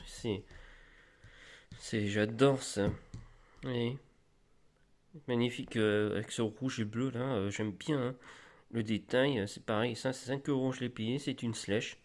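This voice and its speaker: A man with a slight cold, showing off flea-market finds in a rambling, halting, casual way, with many restarts. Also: medium pitch, steady tone. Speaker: collector vlogger. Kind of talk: casual show-and-tell.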